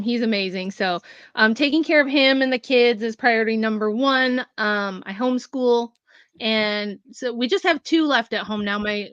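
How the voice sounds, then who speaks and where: A woman in her forties, a guest writer and researcher, talking in a friendly, quick way with frequent laughs. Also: fairly high pitch, fairly bright tone, no room sound, highs cut off. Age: 40s. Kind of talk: casual interview.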